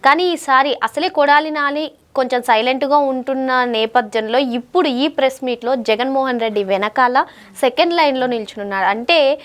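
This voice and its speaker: A woman's voice: high voice, moderate pace, fairly bright tone. A woman hosting a news interview, putting long, multi-part questions to a guest. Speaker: interviewer, host.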